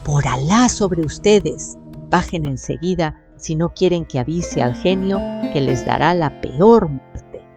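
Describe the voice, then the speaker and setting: A woman playing a bold, commanding young captive of a genie in a dramatized audio story, speaking imperiously and deliberately. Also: deep voice, expressive, over music, full character voice. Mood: commanding, threatening.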